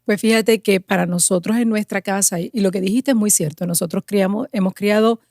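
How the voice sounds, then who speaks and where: A woman speaks in an earnest, emphatic way, often building her points into rhythmic lists. Her voice sits at an ordinary middle pitch. The sound is dry and close, with no room echo. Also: fairly even intonation, a little fast, a little mellow. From Puerto Rico. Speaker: guest, pastor and mother. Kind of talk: conversational interview answers.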